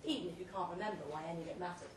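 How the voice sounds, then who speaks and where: A woman reads a short story aloud at a live event, delivering it theatrically in measured, deliberate phrases. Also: on the low side. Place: pub event room, read aloud live. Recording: roomy, distant live recording with faint noise.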